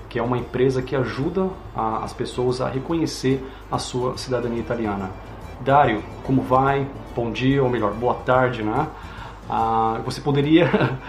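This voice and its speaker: Brazilian man hosting, echoey room. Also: mid-range voice. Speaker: host. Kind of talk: casual interview chat.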